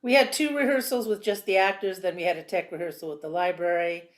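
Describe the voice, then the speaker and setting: A woman's voice: lowish voice, steady tone, fast. A chatty, effusive woman from a theatre company talking casually on a video call. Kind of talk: casual chat. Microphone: video call microphone.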